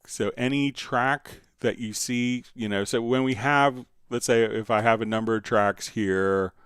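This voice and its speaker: A man hosting a casual live software tutorial, answering viewer questions in a conversational, step-by-step way. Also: medium pitch, fairly even intonation, measured pace, clean tone, no echo, clean studio sound. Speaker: livestream host and software instructor.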